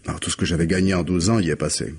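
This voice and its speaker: Deep, husky, guarded man. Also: fairly even intonation, rapid-fire, darkish tone. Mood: weary, resigned. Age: about 40. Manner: hesitant, polite, guarded. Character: reserved, troubled man of nearly forty, courteous and uneasy. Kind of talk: French mystery radio drama acting.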